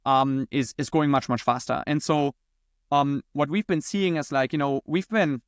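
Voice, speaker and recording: Higher-voiced man with a German accent. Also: steady tone, normal speed, no room sound, studio quality. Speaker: podcast guest, company founder and CEO. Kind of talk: conversational interview answers.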